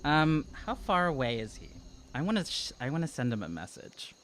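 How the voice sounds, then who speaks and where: A man's voice: fairly high pitch, big pitch swings, moderate pace, a bit bright, a little hiss or hum. A man in his thirties playing a tabletop game, talking casually and thinking aloud as he goes. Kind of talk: casual improvised game talk. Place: small indoor studio at a table. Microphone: close podcast microphone on stand.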